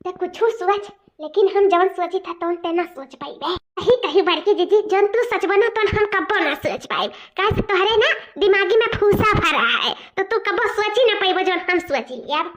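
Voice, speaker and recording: A woman voicing a fretful, excitable village mother in a comic cartoon, chattering repetitively in a rustic eastern Uttar Pradesh accent. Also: very high-pitched, normal speed. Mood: suspicious gossip. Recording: dull lo-fi cartoon soundtrack.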